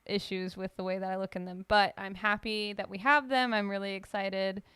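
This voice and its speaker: Smooth, dry-voiced woman rambling casually. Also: medium pitch, fairly even intonation, moderate pace, fairly bright tone, dry recording. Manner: rambling, full of fillers and restarts. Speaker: podcast co-host. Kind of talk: casual chat.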